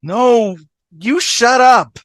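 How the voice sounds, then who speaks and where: A woman's voice: fairly high pitch, drawn-out words, dry recording, clean studio sound. A woman playing a blunt, prickly woman in a comedy table read. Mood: upset.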